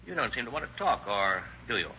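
Casting: hard-driving detective lieutenant, mid-range sharp voice, slangy and sardonic, dull vintage radio recording. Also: male voice, mid-range voice, sing-song, quick pace, a little hiss or hum, heavily acted. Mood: slyly casual. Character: shrewd, needling, hard-driving male police lieutenant interrogator. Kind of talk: 1930s-1940s radio crime drama acting.

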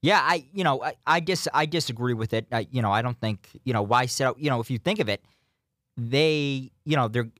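A young man hosts a call-in sports radio show from a studio mic. He talks at a middling pitch and hesitates often, leaning on "you know" between thoughts. The sound is close and dry. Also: brisk, a bit bright, dry recording. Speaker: radio host.

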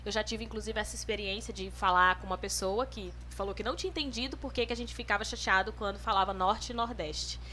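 A young woman from northern Brazil, co-hosting a casual group chat and explaining points in a relaxed, chatty way. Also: mid-range voice, quick pace, few pauses, slight background noise.

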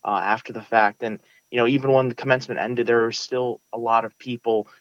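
A man's voice: medium pitch, level tone, fairly quick, dry recording, dull audio. A young man from Brooklyn, earnestly answering interview questions with many restarts and fillers. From United States (Brooklyn). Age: young adult. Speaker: interview guest, valedictorian. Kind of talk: casual interview.

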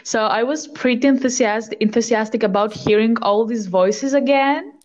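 A woman's voice: a little high, normal speed, darkish tone, lo-fi sound. A twenty-one-year-old woman, a podcast guest, speaking earnestly and reflectively in casual chat.

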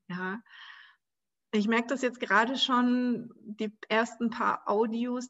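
A woman hosting a spiritual podcast on her own, talking in a relaxed, searching way with many soft pauses and light laughs. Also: a little high, highs cut off. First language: German. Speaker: podcast host. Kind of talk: casual solo podcast monologue.

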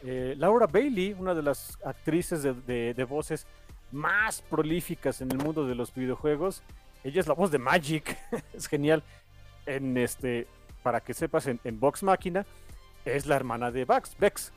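A man's voice: fairly high pitch, lively intonation, measured pace, faint music behind. A man with a Mexican accent hosting a podcast, chatting casually in an enthusiastic, rambling way. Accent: Mexican Spanish. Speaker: podcast host.

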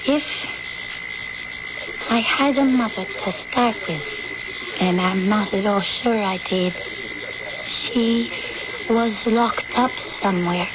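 Radio drama: actress as haunted, fearful woman, trance-like and slow, dull recording with heavy noise. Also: mid-range voice, close to an everyday voice. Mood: wistful, guarded. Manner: trance-like, fragmented, hushed repetitive phrases. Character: troubled woman, childlike, fearful, lost in haunted memories. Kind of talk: old-time radio drama acting. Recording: muffled old radio recording, loud background noise.

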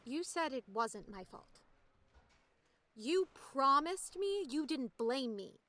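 A woman's voice: high-pitched, very animated, slow pace, bright, forceful tone, full character voice. A woman playing a hurt, upset young female friend in an audio drama. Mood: hurt, accusing.

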